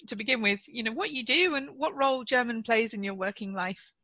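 A woman hosting a podcast, interviewing a guest in a clear, friendly, explanatory way. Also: fairly high pitch, fairly quick, sharp tone, no room sound, lo-fi sound. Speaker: podcast host and English teacher.

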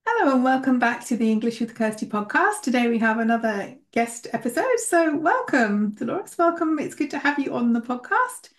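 Bright-voiced woman hosting a podcast. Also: high voice, big pitch swings, normal speed, hardly any pauses. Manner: friendly, clear, encouraging questions. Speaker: podcast host, English teacher.

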